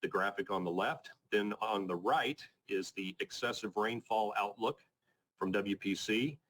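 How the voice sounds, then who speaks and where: A man with a fairly low voice leads a briefing as a weather forecaster. He talks through his slides informally but technically, staying measured and matter-of-fact. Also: fairly bright tone. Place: conference call presentation.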